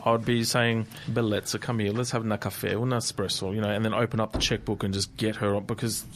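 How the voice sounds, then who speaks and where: A man, co-hosting a casual motorsport chat in a radio studio, animated and quick to laugh. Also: fairly low pitch, a little hiss or hum. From Australia. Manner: chatty, laughs easily, animated. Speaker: co-host.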